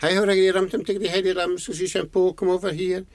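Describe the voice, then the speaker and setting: A man's voice: a little high, sing-song, a little slow, background noise, voice pushed up. A man voicing a proud, determined Scottish mother in a folk tale, speaking emphatically. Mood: scolding.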